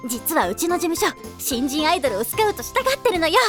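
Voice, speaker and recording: Woman doing a peppy anime schoolgirl idol, high voice that bounces around, bit bossy and teasing, girly. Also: sing-song, fast, over music. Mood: earnest. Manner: feminine, self-assured, playfully scolding. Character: lively teenage schoolgirl pop idol, sociable, teasing friend. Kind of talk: Japanese anime-style voice drama acting.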